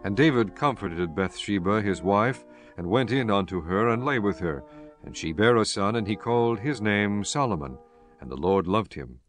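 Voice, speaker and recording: A man's voice: lowish voice, animated, normal speed, faint music behind. A man reading scripture as a solemn audiobook narrator.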